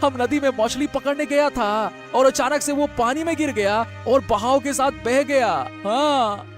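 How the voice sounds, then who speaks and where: An excitable rustic elder brother in a storybook drama, his voice pitched extremely high. He laments in a plaintive, folksy way over soft music. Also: a little slow. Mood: plaintive alarm. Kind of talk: melodramatic storybook audio drama.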